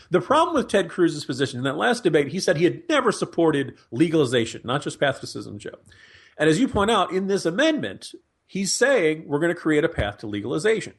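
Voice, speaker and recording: An American man co-hosting political talk, arguing point by point in an emphatic, wry, conversational style. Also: mid-range voice. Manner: emphatic, argumentative, wry, mimicking imagined arguments. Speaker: podcast co-host, political commentator.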